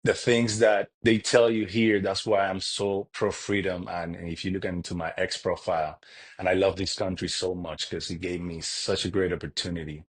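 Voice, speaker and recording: A man's voice: low voice, little pitch movement, slightly rough. A man from Cuba, a podcast guest, talking earnestly in a casual interview, often restarting his sentences. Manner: earnest, halting with frequent restarts.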